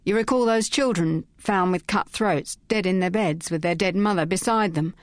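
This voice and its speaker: Lady doing a scared young cop guy begging not to get sacked, halting, lowish voice. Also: no echo, studio quality, close to an everyday voice. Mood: fearful. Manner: halting, pleading. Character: frightened young male constable, pleading, tearful.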